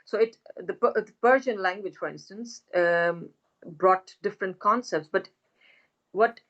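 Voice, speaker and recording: A woman who is a linguist, speaking as a podcast guest in a hesitant way with many pauses. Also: mid-range voice, dull audio. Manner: hesitant, many fillers and restarts. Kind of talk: academic conversation.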